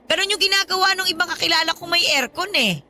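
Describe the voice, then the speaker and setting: A high-pitched, demanding Filipina godmother. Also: quick pace, bright, forceful tone, a little hiss or hum, full character voice. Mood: sweetly wheedling. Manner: chatty, nagging, theatrically pleading. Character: demanding, wheedling older godmother guilt-tripping her goddaughter. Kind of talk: Tagalog radio drama acting. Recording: music behind the voice.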